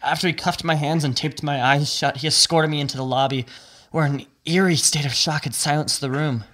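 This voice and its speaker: A man's voice: fairly high pitch, fairly even intonation, a bit bright. A man playing a grieving, shaken robbery survivor giving emotional testimony in an audio drama. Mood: grave, narrating. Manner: halting, emotional testimony turning to storytelling. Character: shaken, grieving male bank robbery witness, prickly, raw. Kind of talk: audio drama acting, first-person narration.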